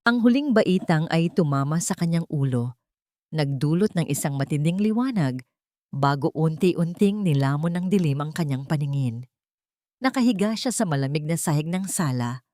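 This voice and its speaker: A woman audiobook narrator reading a dramatic scripted story in a solemn, measured storytelling manner. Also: low voice, darkish tone, dry recording, studio quality.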